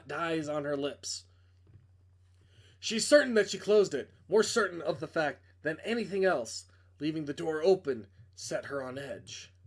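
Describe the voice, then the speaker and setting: Male reader, slightly high register, dramatic narration of a visual novel, a little prone to stumbles. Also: husky. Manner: dramatic storytelling delivery, stumbles over words.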